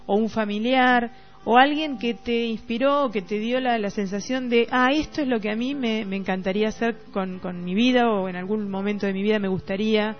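A woman's voice: a little high, brisk. A middle-aged woman with a Rioplatense Argentine accent, talking reflectively and casually as a radio host, often searching for words. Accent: Rioplatense Argentine Spanish. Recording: dull lo-fi radio recording, music behind. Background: music.

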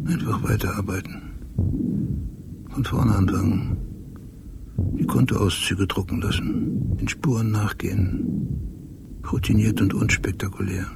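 An actor playing an obsessive, brooding police detective in a radio drama monologue, speaking in a hushed, deliberate way. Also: male voice, on the low side, very noisy. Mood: weary, muted. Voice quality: gravelly. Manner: hushed, deliberate, often near whisper. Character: obsessive, brooding male police detective recalling details precisely. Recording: loud music under the voice.

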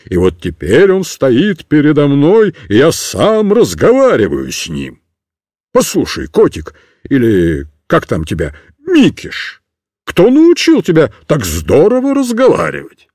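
A man's voice: fairly high pitch, huge pitch swings, a little slow, darkish tone, no room sound, clean studio sound, exaggerated voice. A man voicing a stern, commanding police sergeant in a storybook reading. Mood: marveling. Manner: gruff, commanding.

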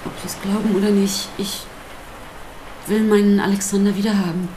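An actress playing a sardonic, defiant pastor's wife in a radio drama, speaking with provocative, theatrical emphasis. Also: female voice, medium pitch, little pitch movement, fairly quick, warm tone, a little hiss or hum, lightly acted, rough recording. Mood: exasperated, insistent. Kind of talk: German radio drama acting.

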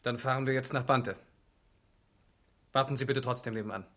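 A man acting a methodical East German police investigator in a radio play. He speaks in a medium-pitched voice, controlled and precise, sober and matter-of-fact. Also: steady tone, moderate pace, fairly bright tone, natural voice. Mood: firm, controlled. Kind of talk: 1970s German radio crime drama acting.

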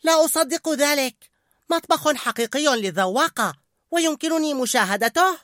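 A woman's voice: high-pitched, dry recording, clean studio sound, pitched above the performer's own voice. A woman voicing an eager young male rat who loves cooking and likes showing off what he knows. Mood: excited. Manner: excited, quick to show off.

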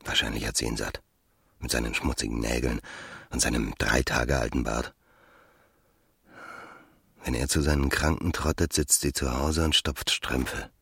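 A man's voice: steady tone, normal speed, long pauses, fairly bright tone, no room sound, studio quality, exaggerated voice. An actor playing a suave, ruthless landowner in his thirties, a self-assured seducer, in a radio drama. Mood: scornful musing. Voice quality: very deep, husky.

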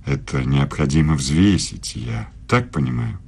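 An actor in a radio play voicing a proud, aggrieved older German philosopher, formal, measured and self-justifying. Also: male voice, a bass voice, big pitch swings, creaky, a little mellow, breathy edge, heavily acted. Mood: formal, pleading. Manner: measured, formal, deliberate speech.

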